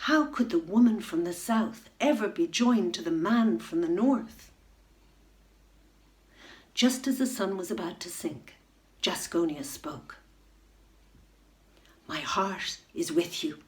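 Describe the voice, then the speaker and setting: Slow, expressive middle-aged woman storyteller. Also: medium pitch. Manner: expressive, rising to loud excitement in dramatic moments. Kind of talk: reading a children's bedtime story aloud. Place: room at home.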